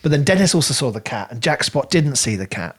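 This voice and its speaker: A man's voice: mid-range voice, very animated, quick pace, husky. A man co-hosting a podcast, talking casually and in a rambling way as he thinks aloud about a book. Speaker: podcast co-host. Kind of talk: casual book review discussion.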